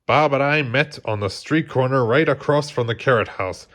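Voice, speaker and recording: A man reading a children's storybook aloud as scripted, expressive narration. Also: medium pitch, normal speed. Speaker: storybook reader.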